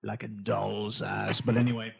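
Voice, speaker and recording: A man's voice: lowish voice, little pitch movement, normal speed, vocal fry, slightly rough. A man co-hosting a casual movie podcast, wry and laid-back as he walks through a plot. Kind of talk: casual movie-review banter.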